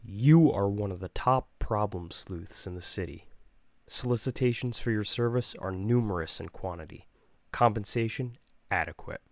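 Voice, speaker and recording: A wry, hard-boiled narrator of a detective adventure game, acted by a man. The voice is lowish and dry, delivered deadpan with mock formality. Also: moderate pace, dry recording, lightly acted.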